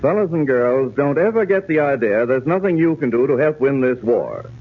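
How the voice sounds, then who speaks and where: Lively, earnest 1940s radio pitchman. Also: normal speed. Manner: folksy, earnest, direct address. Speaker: radio pitchman addressing children. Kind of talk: 1940s radio public appeal. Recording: tinny, noisy 1940s radio broadcast.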